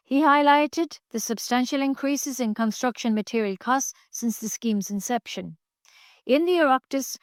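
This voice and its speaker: An Irish woman with a fairly high-pitched voice reads a community news bulletin. She delivers scripted local items as a radio newsreader, in a dry, close sound with little room on it. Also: dry recording.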